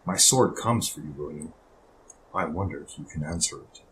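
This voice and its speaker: An actor playing a vengeful older villain commander in an audio drama, threatening in a slow, deliberate way. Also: male voice, very deep voice, fairly bright tone, a little hiss or hum, low-quality recording. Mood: brooding.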